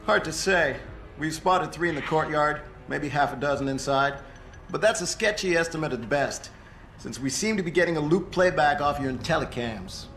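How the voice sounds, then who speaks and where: An actor playing a jaded, sarcastic police tactical officer in a video game cutscene, with a needling manner. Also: male voice, fairly high pitch, normal speed, a bit bright, soft background music. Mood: wary briefing. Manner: sardonic, needling. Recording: roomy echo, faint ambient noise.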